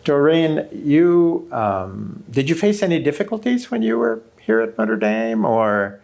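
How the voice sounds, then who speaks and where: A man's voice: a little high, very animated, unhurried, vocal fry, darkish tone. A man interviewing a guest, asking curious, slightly hesitant questions.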